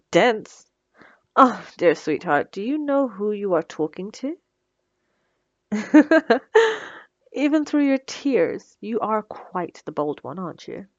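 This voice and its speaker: A woman's voice: mid-range voice, very animated, slow pace, long pauses, dry recording, highs cut off. A woman playing a smug, sarcastic female supervillain who taunts her captive with theatrical condescension in an ASMR roleplay. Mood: mocking, sarcastic. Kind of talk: ASMR roleplay audio drama.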